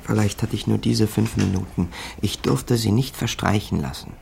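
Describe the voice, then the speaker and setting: A wry small-time crook tells his own story in a radio crime play. His lowish voice has a gravelly edge, and clear background ambience runs under his laconic narration. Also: normal speed, darkish tone, slight background noise. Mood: dry, laconic. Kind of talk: first-person scripted narration in a radio crime drama.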